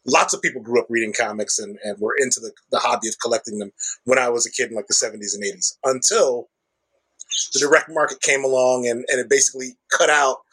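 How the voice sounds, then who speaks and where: Husky middle-aged man, chatty. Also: medium pitch, steady tone, fast, fairly bright tone, rough recording. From Chicago, USA. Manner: chatty, explanatory, peppers speech with "like" and "right?". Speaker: comic shop owner, guest. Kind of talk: conversational podcast interview.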